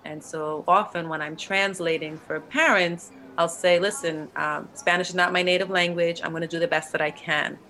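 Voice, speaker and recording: A woman's voice: lowish voice, fairly even intonation, moderate pace, hardly any pauses, sharp tone, low-quality recording. A woman interviewing a guest in a curious, engaged way. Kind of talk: conversational interview.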